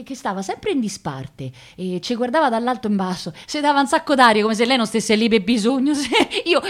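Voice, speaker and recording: A woman's voice: medium pitch, natural voice. An actress playing a proud, touchy young working-class woman with a Roman accent, talking colloquially and emotionally in a radio play. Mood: chatty, scornful. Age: young adult. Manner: colloquial, repeating words, emotional. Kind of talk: theatrical radio drama monologue.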